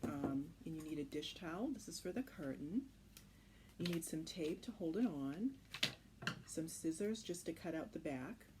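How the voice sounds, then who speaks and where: Low-pitched woman giving a craft lesson. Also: lively intonation, normal speed. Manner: informal, reassuring. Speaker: children's craft instructor. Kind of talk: casual craft tutorial. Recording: clearly noisy home recording.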